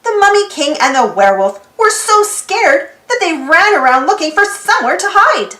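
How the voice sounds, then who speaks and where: Animated, very high-pitched woman reading stories. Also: moderate pace. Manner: expressive, theatrical, exaggerated delivery. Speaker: storybook read-aloud channel host. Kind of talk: animated children's storybook read-aloud. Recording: roomy echo with music behind. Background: music.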